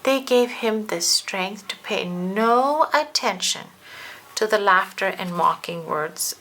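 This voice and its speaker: A woman narrating a children's picture book aloud, expressively and with deliberate emphasis. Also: mid-range voice, animated, a bit bright. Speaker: storybook narrator. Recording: faint background noise.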